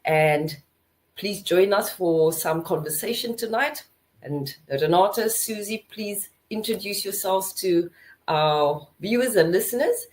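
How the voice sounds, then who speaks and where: A South African woman hosting a podcast, chatting in a relaxed way and often checking in with her guests. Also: mid-range voice, unhurried. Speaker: podcast host. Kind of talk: casual chat.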